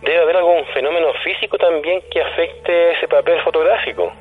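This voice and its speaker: A man's voice: on the high side, fairly quick, soft background music. Chilean man on a tinny phone line. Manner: questioning, reasoning aloud. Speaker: radio guest commentator. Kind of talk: casual radio discussion. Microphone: telephone.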